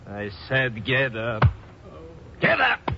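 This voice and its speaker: An actor playing a stern, suspicious prison guard captain, barking commands in a 1950s radio drama. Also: male voice, medium pitch, very animated, slow, gravelly, pushed and bright, faint music behind, exaggerated voice. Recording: muffled, noisy old radio broadcast.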